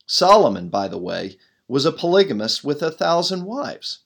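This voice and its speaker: A middle-aged man with a loud voice, a pastor reading his own devotional blog aloud in an earnest way, leaning hard on key words. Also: on the high side, sing-song, normal speed, gravelly.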